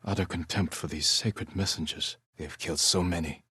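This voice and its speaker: An actor playing a stoic, honorable samurai warrior in a video game, speaking in a measured, earnest and restrained way. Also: male voice, deep voice, fairly even intonation, sharp tone, husky, no room sound, clean studio sound, heavily acted. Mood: somber, hushed. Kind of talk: scripted video game voice acting.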